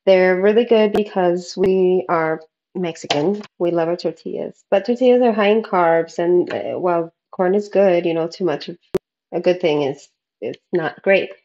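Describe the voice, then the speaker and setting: A woman's voice: fairly low pitch, normal speed, mellow, dull audio. A woman in her thirties casually explaining a recipe in an informal way, recorded in a home kitchen. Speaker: home cooking vlogger.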